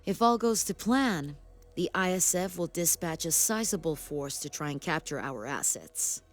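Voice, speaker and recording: An actress playing a stern, authoritative sci-fi military commander in a video game, with formal, clipped briefing delivery. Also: female voice, on the low side, animated, moderate pace, soft background music, natural voice. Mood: resolute. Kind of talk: video game cutscene acting.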